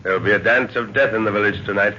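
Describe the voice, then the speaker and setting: A man's voice: lowish voice, steady tone, bright, forceful tone. An actor playing a decisive older professor and father who leads a jungle expedition in a 1930s radio drama. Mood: urgent, commanding. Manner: musing hums, brisk orders. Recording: muffled, hissy old 1930s radio recording.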